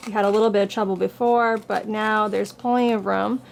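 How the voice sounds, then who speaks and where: A woman's voice: medium pitch, steady tone, fairly quick, gravelly, slight background noise. A woman who is getting over a cold, walking through a paper craft tutorial with plain, patient, step-by-step instructions. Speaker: craft blogger.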